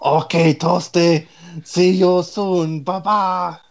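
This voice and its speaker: A man's voice: high voice, little pitch movement, slow, muffled recording. A man voicing a curt male engine company clerk, in clipped broken English. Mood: chirpy.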